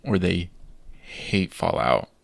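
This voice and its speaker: A man co-hosting a casual podcast, steering the conversation in a measured way. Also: low voice, sing-song, creaky, dry recording. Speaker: podcast co-host.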